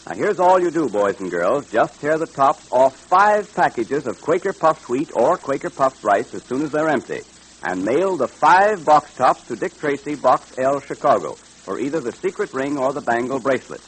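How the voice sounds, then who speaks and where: A man's voice: mid-range voice, sing-song, normal speed, slightly rough, poor audio. An American man announcing and selling to children in 1930s radio style, with a hearty salesman's delivery.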